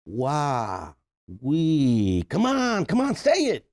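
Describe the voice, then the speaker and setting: A man's voice: fairly high pitch, wildly swooping pitch, extremely slow, darkish tone, exaggerated voice. A man playing a brash, bossy Italian-Cuban buddy in a comedy table read, scolding and exclaiming. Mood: urgent.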